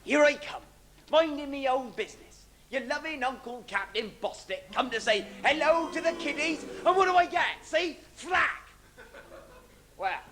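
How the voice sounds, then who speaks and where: An amateur actor playing a blustering, theatrical pirate captain as a comic pantomime villain, bellowing to the audience on a live stage. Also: male voice, extremely high pitch, a bit bright, exaggerated voice. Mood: bombastic. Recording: noisy live stage recording.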